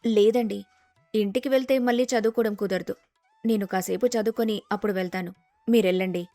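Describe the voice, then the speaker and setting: A woman playing a sensible, respectful young daughter-in-law who talks calmly and reassuringly in a dramatized story. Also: medium pitch, brisk, no echo, faint music behind, lightly acted. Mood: polite, reassuring. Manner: polite, calm, reassuring.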